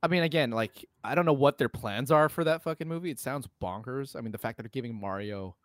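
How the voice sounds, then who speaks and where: A man's voice: medium pitch, fast talker, dry recording, studio quality. A man co-hosting a podcast, talking casually and enthusiastically in emphatic, slangy phrases with some swearing. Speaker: podcast co-host. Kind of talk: casual conversational film and game chat.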